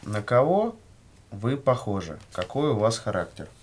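Male, lowish and husky, varied intonation, delivering measured language-course questions and model replies. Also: big pitch swings. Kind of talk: scripted language-lesson dialogue, read aloud.

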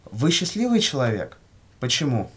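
A man reading scripted questions and answers for a language lesson in a measured way. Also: mid-range voice, sing-song, slightly rough. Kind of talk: scripted language-lesson dialogue, read aloud.

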